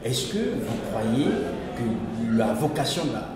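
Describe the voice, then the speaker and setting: A man interviewing guests at an event, asking quick questions full of fillers, in a large reception room. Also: mid-range voice, animated, heavy background noise. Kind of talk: on-site interview. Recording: echoey hall, far mic, crowd behind.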